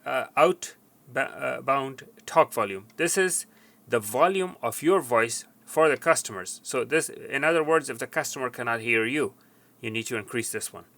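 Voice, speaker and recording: A man giving an informal technical tutorial, explaining step by step in a somewhat halting way. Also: mid-range voice, bright, forceful tone. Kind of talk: informal how-to instruction.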